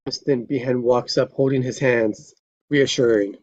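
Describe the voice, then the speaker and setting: A man's voice: mid-range voice, steady tone, normal speed, a little mellow. A man narrating scripted stage directions at a live table read, on a dull recording.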